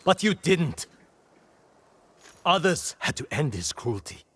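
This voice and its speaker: An actor playing a guilt-laden but defiant, battle-worn rebel fighter in a video game, with a husky, gravelly voice. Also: male voice, a little high, big pitch swings, slow, fairly bright tone, no room sound, exaggerated voice. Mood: accusing. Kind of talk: dramatic video game cutscene acting.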